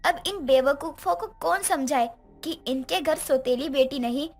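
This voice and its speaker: Woman doing young stepdaughter in a family drama, high voice, emotive, music underneath. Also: measured pace. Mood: bitter, scheming. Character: young stepdaughter, vengeful schemer who later repents. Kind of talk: dramatized Hindi moral story acting.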